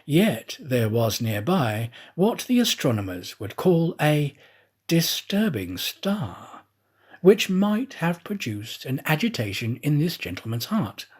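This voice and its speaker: A male actor narrates a storybook in a husky voice. His reading is dramatic and expressive, with lively, wide-ranging intonation. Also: on the high side, takes it slowly. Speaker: audiobook reader, professional actor.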